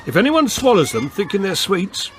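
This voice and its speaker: An actor playing an older, earnest British police constable in a radio drama, questioning firmly but patiently. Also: male voice, sits high, wildly swooping pitch, moderate pace, a little hiss or hum.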